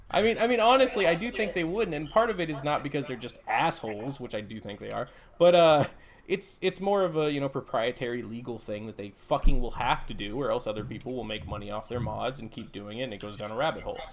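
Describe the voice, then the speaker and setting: A man talking in a loose group chat on a live stream, blunt and profane as he rants. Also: medium pitch. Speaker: mod author hosting a live stream.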